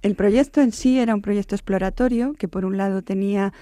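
A woman professor, explaining her research in a radio interview and often pausing to search for words. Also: medium pitch, warm tone, no room sound, studio quality. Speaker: anthropology professor, interview guest.

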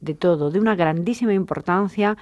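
A woman's voice: on the low side, a little fast, a little mellow, no room sound, lo-fi sound. A woman hosting an interview in a university studio, articulate and welcoming, asking long flowing questions. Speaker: radio interview host. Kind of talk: radio interview.

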